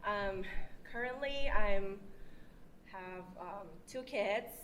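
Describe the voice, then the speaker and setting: A woman from Thailand with a Thai accent, a fitness athlete explaining casually in halting, hesitant phrases. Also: medium pitch, a bit bright, a little hiss or hum. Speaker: fitness athlete being interviewed.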